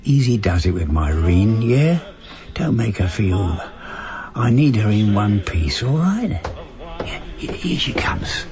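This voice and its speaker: An actor playing a devoted, protective and cheeky elderly Cockney husband in a naturalistic radio drama. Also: male voice, fairly low pitch, sing-song, slow pace, highs cut off, crowd noise. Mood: protective, sincere. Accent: Cockney London.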